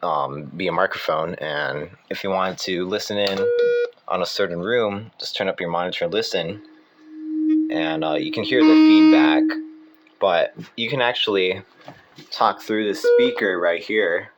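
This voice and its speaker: Low-voiced man giving a casual demo. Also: unhurried. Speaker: hobbyist presenter demonstrating equipment. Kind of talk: casual hands-on demonstration. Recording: clear background noise.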